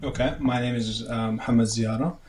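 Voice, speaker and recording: A young man from Canada answering interview questions in a halting way, with many fillers and restarts. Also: mid-range voice, fairly even intonation, darkish tone, a little hiss or hum. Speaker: guest, medical student.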